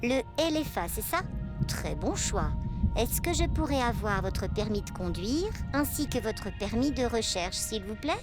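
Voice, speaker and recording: An actress playing a polite, accommodating rental-desk receptionist with a courteous customer-service manner in a French sci-fi audio comedy. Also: female voice, a little high, expressive, measured pace, over loud music. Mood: polite, professional.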